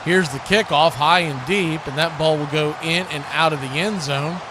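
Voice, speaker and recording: Male play-by-play host, somewhat high voice, switching between game calls and casual chat, clear background noise. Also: moderate pace. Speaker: radio host calling play-by-play. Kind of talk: sports play-by-play commentary mixed with casual chat.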